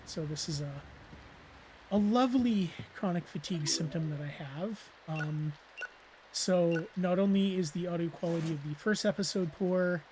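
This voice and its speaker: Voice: medium pitch, moderate pace, a little mellow, a little hiss or hum, rough recording. A let's play host giving casual gameplay commentary, rambling with lots of fillers and self-deprecating asides, voice weakened and strained from losing it.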